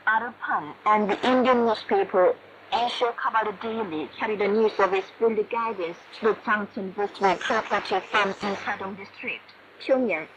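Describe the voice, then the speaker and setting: A woman radio announcer reading a formal, solemn news bulletin in a measured way, heard over shortwave radio. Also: mid-range voice. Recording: noisy shortwave radio reception with static. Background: shortwave static and noise.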